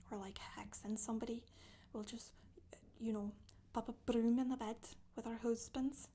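Soft-spoken Scottish witch, female performer, chatty ASMR storyteller, higher-pitched but husky-breathy, deadpan. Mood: gossipy, nonchalant. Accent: put-on Scottish. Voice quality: breathy, whispery. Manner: hesitant, colloquial, deadpan. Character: chatty, casual Scottish witch recounting her misdeeds.

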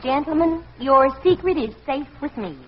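A sweet, gently teasing housewife and mother in an old radio comedy. Her voice is high-pitched, and the vintage recording is muffled. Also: expressive, moderate pace. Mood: gently amused. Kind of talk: 1940s radio comedy acting.

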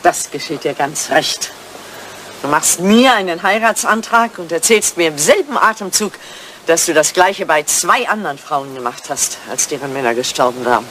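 An older woman playing a sharp-tongued, teasing English widow of high standing in a German radio play, with a dry, probing manner. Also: animated, moderate pace, a little hiss or hum. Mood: tart.

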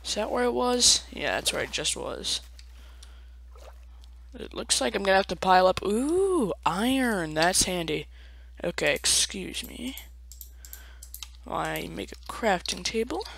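A man's voice: high voice, very animated, unhurried, vocal fry, long pauses, a bit bright, slight background noise. A young man casually commenting on his own gameplay, chatty and self-mocking, with playful asides. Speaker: let's play gamer.

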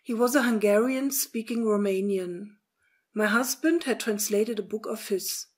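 Husky woman recalling the past. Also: medium pitch, a little slow. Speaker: eyewitness recalling memories. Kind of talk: first-person recollection.

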